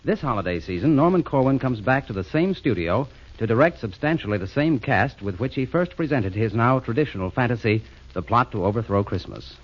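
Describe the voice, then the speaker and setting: Measured male announcer, 1940s lo-fi radio. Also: mid-range voice, lively intonation, husky. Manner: formal, measured. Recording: dull old radio broadcast.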